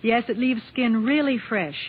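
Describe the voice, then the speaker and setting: A woman's voice: on the high side, moderate pace. A woman guest commentator giving scripted promotional talk in a 1950s radio style. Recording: muffled, noisy old radio broadcast.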